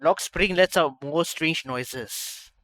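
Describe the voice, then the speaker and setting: A man's voice: fairly high pitch, takes it slowly, fairly bright tone. A man streaming a game playthrough, casually commenting and reading on-screen text aloud. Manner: casual, reads dialogue and narration aloud. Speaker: gaming streamer.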